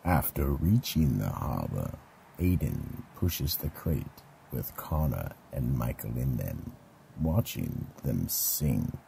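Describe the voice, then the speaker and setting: Extremely deep, dark, gravelly male narrator. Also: sing-song, slow, slight background noise, rough recording. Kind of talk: mystery radio theatre narration, scripted.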